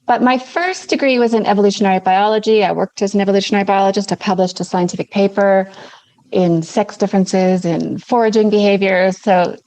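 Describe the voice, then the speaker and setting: Middle-aged woman guest, Canadian–New Zealand accent, measured explainer, medium pitch. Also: steady tone, darkish tone, poor audio. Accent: mixed Canadian and New Zealand. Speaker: guest, naturopathic doctor. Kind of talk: podcast interview.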